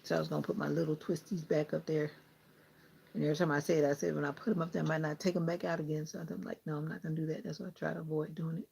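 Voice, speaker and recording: A woman's voice: deep voice, level tone, racing, creaky, darkish tone, breathy edge. A woman with a Southern US accent, chatting casually with viewers and laughing often while she trims her hair. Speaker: vlogger. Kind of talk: casual chat with live viewers.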